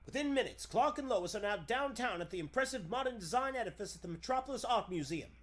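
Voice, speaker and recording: A man playing a dramatic narrator, delivering scripted scene-setting deliberately in 1940s radio style. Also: very high voice, lively intonation, fairly bright tone. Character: dramatic old-time radio serial announcer.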